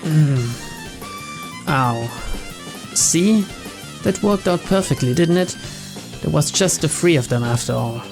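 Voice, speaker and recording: A woman's voice: deep voice, lively intonation, slow pace, pauses often. A woman reading visual novel text aloud as dramatic narration for a gaming channel. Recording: loud game music behind voice.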